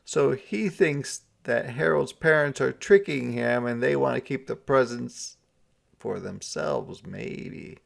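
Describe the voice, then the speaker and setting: A man reading a children's storybook aloud as a teacher, deliberately explaining words and events as he goes. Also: mid-range voice, creaky.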